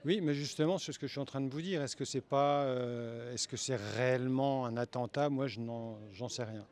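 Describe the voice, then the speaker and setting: A man's voice: medium pitch, moderate pace, a little mellow. A man giving a casual, spontaneous interview answer, hesitant and groping for his words. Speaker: passer-by being interviewed.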